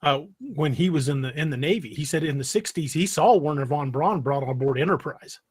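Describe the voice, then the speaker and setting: A man with a husky voice chatting casually as a podcast host in a panel discussion. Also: a little high, brisk.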